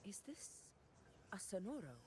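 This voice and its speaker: An actress playing a stoic, proud warrior leader in a video game, speaking in a formal, measured, resolute way. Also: female voice, mid-range voice, big pitch swings, a little mellow, a little hiss or hum. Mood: curious. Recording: music behind the voice.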